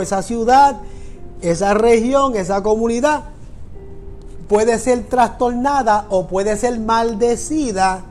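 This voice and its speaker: A man's voice: high voice, a little mellow. A middle-aged man from Puerto Rico with a Puerto Rican Spanish accent, preaching a sermon and repeating phrases for emphasis. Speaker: pastor.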